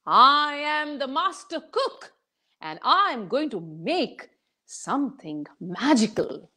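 Woman doing a wily traveler for a told story, showy and announcing, slow and lively. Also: high voice, pitched above the performer's own voice, exaggerated voice. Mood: grand, boastful. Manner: grand, announcing. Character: clever hungry male traveler, showman cook.

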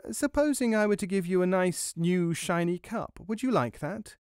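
A man's voice: high-pitched, normal speed, darkish tone, clear, resonant tone, dry recording, clean studio sound, pitched above the performer's own voice, natural voice. A man voicing a pompous, self-important little mouse in a storybook, with a grand, declamatory manner. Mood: officious. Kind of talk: storybook character voice.

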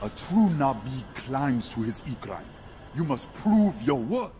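A scornful alien warrior for a video game. His speech is formal and terse, with dramatic swings in pitch. Faint music plays underneath. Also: very animated, unhurried. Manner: stern, terse, formal pronouncements. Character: proud, distrustful alien Na'vi warrior, stern and scornful. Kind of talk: video game cutscene acting.

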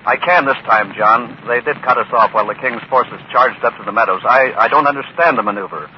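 A man's voice: mid-range voice, a little fast, lightly acted. A man playing a composed field correspondent in a vintage radio news drama. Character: composed male war-style correspondent reporting from the field. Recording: muffled vintage radio, faint noise.

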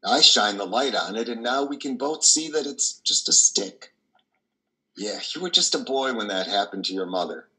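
An older man acts out a kindly priest in a stage drama, with a lowish, bright voice. He counsels gently, in measured, reassuring phrases with small hesitations. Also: rough recording. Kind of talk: dramatic play reading. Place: indoor wood-trimmed room on a video call.

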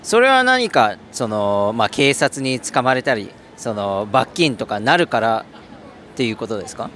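A man's voice: mid-range voice, big pitch swings, moderate pace, a bit bright, slight background noise. A man asking polite, formal questions as a street interviewer outdoors.

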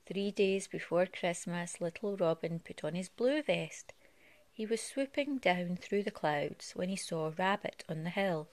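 A woman reads a children's storybook aloud in a slightly low voice. Her delivery is expressive storytelling for a young child, giving the characters' lines some life. The sound is dry, with little room echo. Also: measured pace. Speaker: parent reading a storybook to a child. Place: living room at home.

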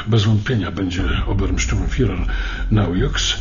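An actor playing a cold, confident German intelligence officer in a radio docudrama, with a gravelly, measured, commanding delivery. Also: male voice, low voice, a little mellow. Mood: firm, calculating. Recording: dull radio recording, faint music.

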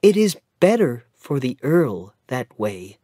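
A man voicing a gentle, sad young mother in a storybook reading, speaking softly and tenderly. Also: medium pitch, sing-song, takes it slowly, no echo, clean studio sound, pitched above the performer's own voice. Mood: troubled. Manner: soft and tender.

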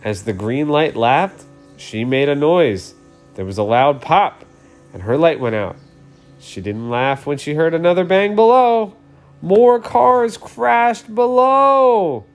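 A man's voice: on the high side, expressive, slow pace, soft background music. A man in his thirties reading a children's story aloud expressively, as a storyteller.

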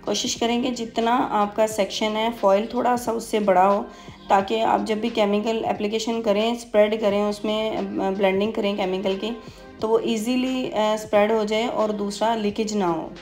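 Even-toned woman giving a tutorial. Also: medium pitch, normal speed, soft background music. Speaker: beautician giving a hair tutorial. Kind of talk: instructional tutorial voice-over.

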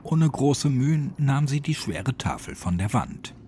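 A man's voice: medium pitch, very animated, unhurried, mellow, a little hiss or hum. A man narrating a scripted horror audiobook in a dramatic style.

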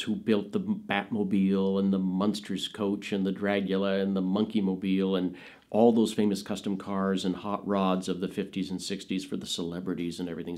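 Brief: middle-aged man, lowish voice, lively anecdotal interviewee, studio sit-down. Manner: animated, laughing storyteller who jumps into high-pitched quoted voices. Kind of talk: anecdotal storytelling. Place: indoors, studio with dark curtain backdrop.

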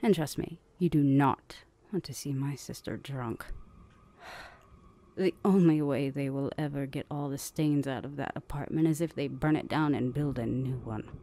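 A woman's voice: rumbling low, moderate pace, warm tone. A woman playing a stern, haughty, protective older vampire sister in ASMR roleplay, with a formal, imperious manner. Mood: haughty, composed. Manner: formal, imperious, easily flustered.

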